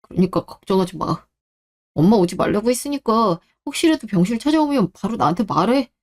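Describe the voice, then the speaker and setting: A young husband in a radio drama, voiced by a woman. He is caring and protective, speaking earnestly and firmly at a middling pitch for a female voice. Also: animated, fairly quick, darkish tone, natural voice. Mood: protective, reassuring. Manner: earnest, firm. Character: protective young husband, caring but exasperated with his mother. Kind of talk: Korean radio drama acting.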